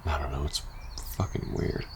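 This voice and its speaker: A man playing a weary, uneasy soldier confiding in his best friend in a post-apocalyptic ASMR audio roleplay, speaking haltingly and casually. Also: slight background noise, lightly acted. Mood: puzzled, grumbling. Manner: hesitant, halting, casual swearing, sighing.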